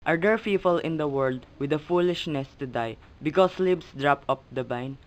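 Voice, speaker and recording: A man's voice: on the high side, normal speed, a little hiss or hum, lightly acted. A man playing a fierce, scornful little old painter in a storybook puppet drama, indignant.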